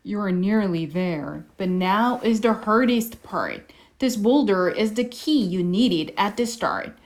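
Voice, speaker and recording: Girl putting on a slow, measured grown-up storybook character. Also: medium pitch, expressive, pitched below the performer's own voice. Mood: solemn.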